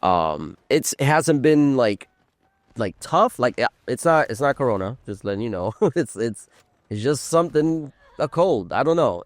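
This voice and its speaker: A man's voice: fairly low pitch, no echo, clean studio sound. A man with a congested, nasal voice from a cold, co-hosting a podcast in loose, rambling casual chat. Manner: loose, rambling, laughs often. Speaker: podcast co-host.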